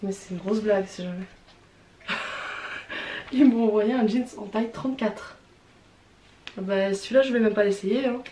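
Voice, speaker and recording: A woman's voice: medium pitch, darkish tone. A young woman with a Swiss French accent, stuffy from a cold, chatting frankly and hesitantly as she unboxes and tries on clothes, with lots of fillers and restarts. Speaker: vlogger. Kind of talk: casual unboxing and try-on chat. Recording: roomy with faint background noise.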